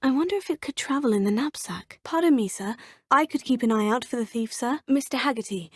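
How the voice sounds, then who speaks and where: A woman's voice: a little high, a little fast, breathy edge, dry recording. A polite student witch. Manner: polite, formal and composed. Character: young female student witch, polite and curious. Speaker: player character. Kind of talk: video game voice lines. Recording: dry studio booth.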